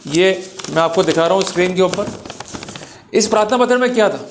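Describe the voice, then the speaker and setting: High-pitched man lecturing emphatically. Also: expressive, brisk, slight background noise. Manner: emphatic, exhorting, rhetorical; mixes in English legal terms. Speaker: lawyer and legal lecturer. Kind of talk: legal lecture to camera.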